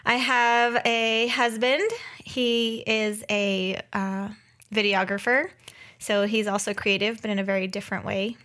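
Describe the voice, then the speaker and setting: Woman, thirties, podcast co-host, medium pitch, casual chat, informal, self-deprecating humor. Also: little pitch movement, a little slow, sharp tone. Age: 30s. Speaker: podcast co-host and graphic designer. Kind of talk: casual chat introducing herself.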